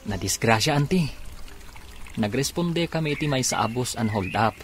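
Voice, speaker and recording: A man playing a mild, dutiful police officer son in an Ilocano radio drama, with a slightly husky voice. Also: medium pitch, lively intonation, moderate pace, slight background noise, natural voice. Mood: troubled.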